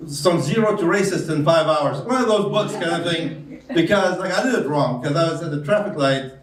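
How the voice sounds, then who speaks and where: A man's voice: fairly high pitch, a little fast, a crowd in the background. Accented man doing stand-up in a roomy club. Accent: foreign. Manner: deadpan storytelling with hesitant fillers. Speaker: stand-up comedian. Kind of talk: stand-up comedy set. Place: comedy club stage. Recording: roomy club sound from a distance. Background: audience laughter.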